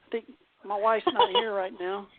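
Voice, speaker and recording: A woman's voice: mid-range voice, very animated, normal speed, no echo. A woman hosting a call-in radio show in a casual, chatty style over a phone line. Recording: narrow tinny phone-line sound.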